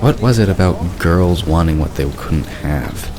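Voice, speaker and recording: A man's voice: deep voice, measured pace, crowd noise. A man reading scripted narration for a fantasy audio drama. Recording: clear background noise.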